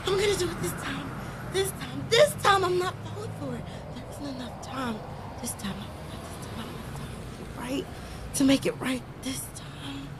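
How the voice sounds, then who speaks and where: Actress as a high-pitched, frantic, unhinged woman. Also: moderate pace, lightly acted. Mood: frantic, tearful. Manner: stammering, repetitive, swinging between shouts and whispers. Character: distraught unhinged woman, frightened, stubborn, arguing with a voice. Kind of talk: audio horror drama acting. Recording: clearly noisy background.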